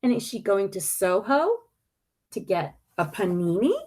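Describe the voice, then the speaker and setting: A woman reading a picture book aloud expressively, with deliberate dramatic emphasis and pointed pauses. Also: medium pitch, expressive, darkish tone.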